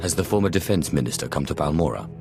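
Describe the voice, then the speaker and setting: Casting: commanding dark lord, rock-bottom register, composed and curt, game scene with strong music. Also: male voice, extremely low pitch, expressive, vocal fry, full character voice. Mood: cold, composed. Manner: measured, curt, imperious. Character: cool, commanding male Sith lord. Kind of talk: scripted game cutscene acting.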